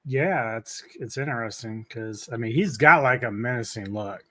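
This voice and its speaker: A man's voice: mid-range voice, normal speed, sharp tone, no room sound, studio quality. A man co-hosting a casual movie podcast, speaking bluntly and swearing casually. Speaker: podcast co-host.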